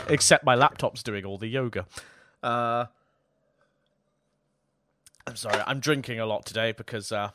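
A man's voice: medium pitch, a little slow, long pauses, sharp tone, dry recording, clean studio sound. A young British man chatting casually about tech as a podcast co-host, jokey and self-deprecating, his voice a little strained. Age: young adult. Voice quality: slightly worn, tired voice.

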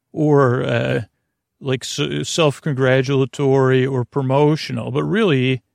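A man with a creaky, fry-laden voice of middling pitch hosts a bedtime podcast. He wanders through tangents, mumbles and repeats himself in a lulling manner. The sound is dry, with no room around it. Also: no room sound. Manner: meandering, mumbling, repetitive, with tangents; lulling, soothing delivery. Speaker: podcast host. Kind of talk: rambling bedtime sleep podcast introduction.

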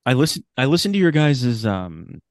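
A man's voice: medium pitch, very animated, moderate pace, no echo. Casual man with a close, dry sound. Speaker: podcast guest, writer of radio drama. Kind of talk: casual chat.

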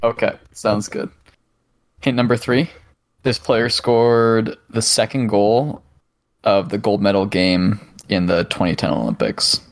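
A man's voice: on the low side, measured pace. A man co-hosting a podcast, reading out trivia hints in an easygoing way and laughing often. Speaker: podcast co-host, quiz giver. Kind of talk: casual chat.

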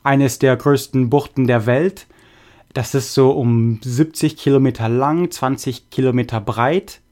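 A young man hosting a podcast, reading listener messages in a relaxed, halting way with many fillers. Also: medium pitch. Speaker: podcast host. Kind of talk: casual podcast chat reading listener mail.

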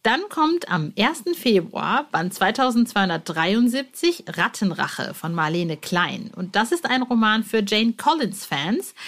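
A woman with a medium-pitched voice hosts a podcast. She is informal and effusive, chatting to listeners and making casual announcements. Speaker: podcast host.

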